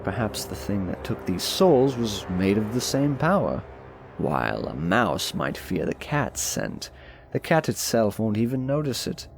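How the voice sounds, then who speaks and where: A narrator voicing an urbane, aloof, sardonic nobleman with dry, erudite, measured phrasing in an audiobook. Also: mid-range voice, big pitch swings, creaky, a little hiss or hum. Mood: musing, sardonic. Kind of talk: audiobook character voice.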